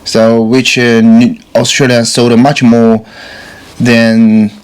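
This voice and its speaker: A man whose first language is Chinese talks through his opinions in a slow, unhurried way. He hesitates often, fills pauses with "uh" and "um", restarts his phrases, and tosses out wry rhetorical questions. His voice sits at an ordinary middle pitch. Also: flat delivery, clean tone, rough recording. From China. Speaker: video commentator. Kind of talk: casual opinion commentary.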